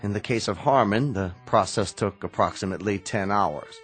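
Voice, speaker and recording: An actor in a radio drama playing a stern, sardonic medical researcher who speaks in a measured, commanding way. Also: male voice, fairly low pitch, faint music behind, natural voice. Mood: grave, explanatory.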